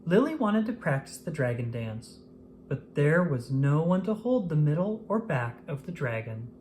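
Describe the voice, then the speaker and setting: A woman narrating a children's picture book aloud in an expressive manner, paced for young listeners. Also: low voice, normal speed, a little hiss or hum. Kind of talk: scripted storybook narration.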